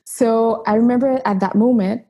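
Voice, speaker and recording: A woman's voice: fairly high pitch, fairly even intonation, normal speed, warm tone. A woman from Haiti whose first language is Haitian Creole, telling her story as a chiropractor guest in an earnest, reflective interview. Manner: earnest, reflective, with frequent restarts.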